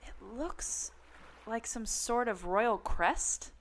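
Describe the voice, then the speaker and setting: Woman playing curious level-headed adventurer, radio comedy, fairly high voice, expressive reactions, ambient noise. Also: slow pace. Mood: amazed. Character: sensible, curious young-spirited female adventurer Annie. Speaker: podcast host acting in audio drama. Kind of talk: amateur radio comedy acting. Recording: noticeable ambient background noise.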